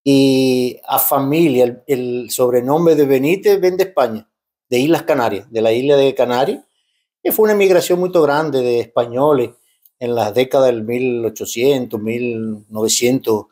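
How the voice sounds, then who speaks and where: Man in his fifties, Cuban accent, mid-pitched voice, interview guest, switches between Spanish and Portuguese, restarts often. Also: moderate pace, warm tone. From Cuba. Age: 50s. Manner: mixes Spanish and Portuguese, frequent restarts and tag questions. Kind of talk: casual interview talk.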